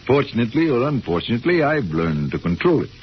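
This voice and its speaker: An American actor playing a troubled, brooding professor in a 1950s radio drama, speaking in an earnest, formal, hesitant way. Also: male voice, medium pitch, very animated, fast, lightly acted. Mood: earnest recollection. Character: troubled, brooding male professor with a frightening mental power. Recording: muffled vintage 1950 radio, faint noise.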